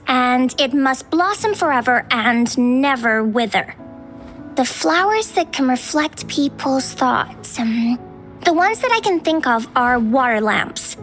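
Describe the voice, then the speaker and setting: A kindly young flower girl from an anime-style game, gentle and soft-spoken. She explains things thoughtfully, with music in the background. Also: high voice, full character voice.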